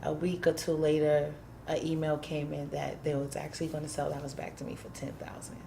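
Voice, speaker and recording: A woman's voice: deep voice, completely flat delivery, brisk, gravelly, noisy background. A woman, a community housing activist, telling her story candidly in an interview, emphatic and plain-spoken, acting out what people said.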